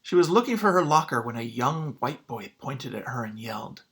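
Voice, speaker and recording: A man's voice: mid-range voice, lively intonation, normal speed, fairly bright tone. A man speaking as a teacher to his students, in an informal read-aloud lesson style.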